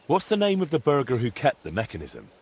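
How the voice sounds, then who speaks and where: A young blacksmith in a game drama. His voice is slightly rough and very varied in pitch. He comes across as earnest, plain-spoken and eager to help. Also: wildly swooping pitch, quick pace, darkish tone, exaggerated voice. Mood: curious, earnest. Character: helpful, inquisitive young male blacksmith, earnest and friendly.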